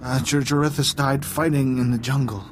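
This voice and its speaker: A man's voice: medium pitch, fairly even intonation, slight background noise, lightly acted. A man as a dazed, rambling slave. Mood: confused pleading. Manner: halting, rambling, disoriented. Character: dazed, addled male slave, pained and confused. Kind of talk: video game character acting.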